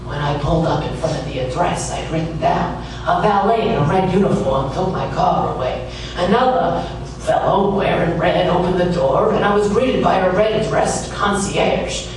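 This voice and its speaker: A man playing a wry, world-weary private detective, narrating and talking in a deadpan, sardonic way in a hardboiled radio drama. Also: sits high, normal speed, noisy background, natural voice. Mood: wry, brooding. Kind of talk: hardboiled noir radio drama, first-person narration and dialogue. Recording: echoey distant stage recording with music behind.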